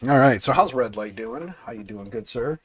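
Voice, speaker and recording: A man hosting a casual livestream, congested and raspier than usual, rambling and repeating himself as he talks to his chat. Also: medium pitch, animated, normal speed, a crowd in the background. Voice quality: raspy, congested. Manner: mild swearing, offhand. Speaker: livestream host.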